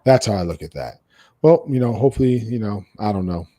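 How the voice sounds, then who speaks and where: A man's voice: fairly low pitch, lively intonation, a little fast, warm tone. An American man chatting casually and opinionatedly as a podcast co-host, bluntly repeating phrases for emphasis.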